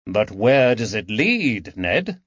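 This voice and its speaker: A man voicing an earnest, thoughtful gentleman detective with educated, measured speech in an audiobook. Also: fairly low pitch, big pitch swings, no room sound, heavily acted. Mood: earnest, worried. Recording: dull lo-fi recording.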